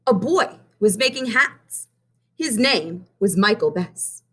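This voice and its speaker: A woman's voice: mid-range voice, slightly rough. A woman teacher reading a picture book aloud to children, stopping to count coins with them. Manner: slow and expressive, pausing to count coins. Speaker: primary math teacher. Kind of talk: children's read-aloud lesson.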